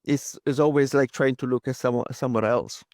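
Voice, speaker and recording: Italian-accented man, 30s, halting and hesitant, mid-pitched voice, dry close recording. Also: steady tone, darkish tone, no echo. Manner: halting, many fillers and restarts. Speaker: guest. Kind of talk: casual interview talk.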